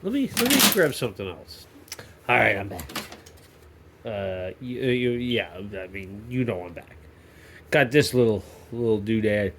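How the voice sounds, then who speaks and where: A man's voice: on the low side, very animated, a little slow, fairly bright tone, slight background noise. A man with a stuffy nose from a cold, rambling and joking as he hosts a workshop vlog, often cutting himself off mid-sentence. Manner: rambling, self-interrupting, jokey. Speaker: YouTube maker channel host. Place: home workshop.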